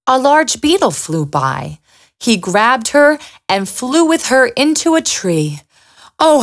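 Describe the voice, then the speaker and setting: A woman storyteller reading a scripted fairy tale for children. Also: mid-range voice.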